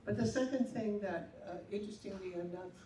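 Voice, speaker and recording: A woman's voice: medium pitch, a little fast, mellow. A woman from San Francisco on a conference panel, telling her story in a reflective, modest way. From San Francisco, United States. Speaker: panelist theologian. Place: conference hall. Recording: echoey hall from far off, faint noise.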